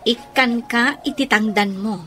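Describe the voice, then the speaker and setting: A woman's voice: fairly high pitch, a little slow, bright, forceful tone, soft background music. A woman playing a friendly but scheming godmother in a radio drama, with an Ilocano accent. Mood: scheming, coaxing. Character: friendly godmother, scheming confidante of a wronged wife.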